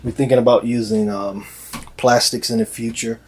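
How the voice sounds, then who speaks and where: A man speaking casually and off the cuff as a loudspeaker maker presenting products, in a hesitant, repetitive, halting way. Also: medium pitch, flat delivery, breathy edge. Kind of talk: casual unscripted product explainer. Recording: noticeable background noise, amateur video sound.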